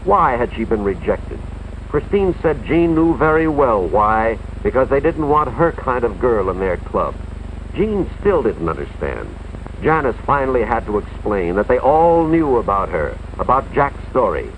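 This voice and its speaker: An American man playing an earnest, authoritative school official, narrating a cautionary tale in a deliberate, moralizing 1950s educational film style, with dramatic emphasis. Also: mid-range voice, sing-song, a little hiss or hum. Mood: sympathetic, grave. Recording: tinny, band-limited 1950s film soundtrack, music behind.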